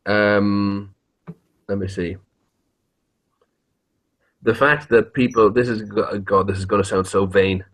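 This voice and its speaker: A man's voice: fairly low pitch, fairly even intonation, slow, long pauses, fairly bright tone. A man chatting casually as an interview guest, rambling and hesitant, with a joking streak and easy swearing. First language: English. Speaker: interviewed YouTube horror story narrator.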